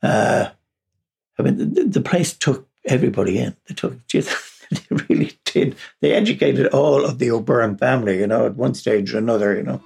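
A man's voice: mid-range voice, animated, moderate pace, vocal fry, a little mellow, husky. A man with an Irish accent, telling personal stories in a rambling way with frequent chuckles and restarts. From Ireland, raised partly in England. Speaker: podcast guest. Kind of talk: casual personal storytelling.

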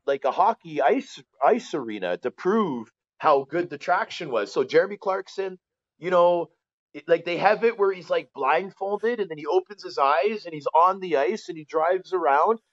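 Higher-voiced Canadian man telling stories, lo-fi. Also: normal speed, no room sound. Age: 40s. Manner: animated, colloquial, often checks the listener follows. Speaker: former pro hockey player, interview guest. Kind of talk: casual podcast storytelling.

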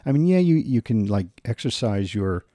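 A man's voice: lowish voice, very animated, moderate pace, mellow, no room sound. A man co-hosting a podcast, chatting loosely and agreeably. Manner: laughs often, loose and agreeable. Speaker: podcast co-host. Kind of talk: casual chat.

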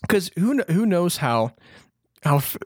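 A man's voice: high-pitched, sing-song, measured pace, a little mellow, dry recording, studio quality. A man co-hosting a podcast in casual chat, informal and thinking aloud as he talks. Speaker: podcast co-host.

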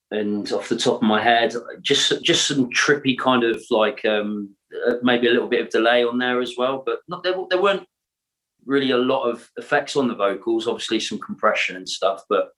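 A man's voice: on the low side, normal speed, fairly bright tone, low-quality recording. A man, the band's singer, chatting casually in a podcast interview with dry, joking asides. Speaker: band singer.

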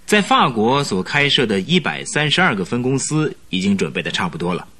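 An actor playing an energetic, domineering businessman in a radio drama, talking in a brisk, commanding, talkative way. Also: mid-range voice, breathy edge, heavily acted. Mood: assured, instructing.

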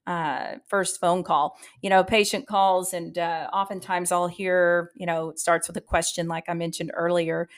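A woman's voice: on the low side, little pitch movement, normal speed, hardly any pauses. A woman hosting a podcast as a practice coach, speaking straight to her listeners in an emphatic way with rhetorical questions.

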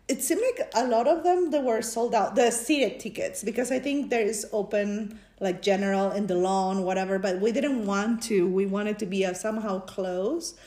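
A middle-aged woman from Peru co-hosting a podcast, chatting excitedly and mixing English with Spanish. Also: medium pitch, normal speed, hardly any pauses. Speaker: podcast co-host. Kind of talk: bilingual casual chat.

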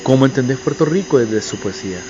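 A man's voice: medium pitch, animated, fast talker, muffled recording, background noise. Man asking long winding intellectual questions. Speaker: interviewer, host. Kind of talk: interview question.

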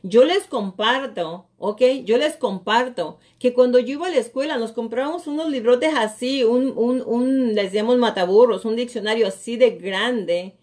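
A woman's voice: a little high, moderate pace, hardly any pauses, a little mellow. A middle-aged woman, giving an emphatic motivational talk as a coach on a live show, often repeating phrases. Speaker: real estate coach and live show host.

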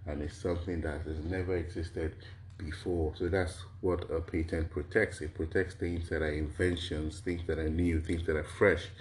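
A man from Nigeria giving an explanatory lecture, his voice a little hoarse from a cold, asking rhetorical questions and stringing examples into lists. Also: sits low, flat delivery, normal speed, a little hiss or hum. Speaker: lecturer on intellectual property.

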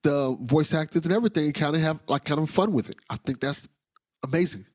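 A man hosts a casual podcast interview, praising his guest in a loose, rambling, slangy way. His voice sits a little high for a man, and the recording is dry and close. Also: quick pace, dry recording. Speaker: podcast host.

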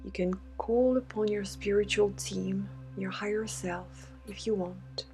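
A woman guides a meditation in a soothing, deliberate way, with breathy half-whispered phrases. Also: mid-range voice, fairly even intonation, darkish tone, rough recording. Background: meditation music with singing bowl tones.

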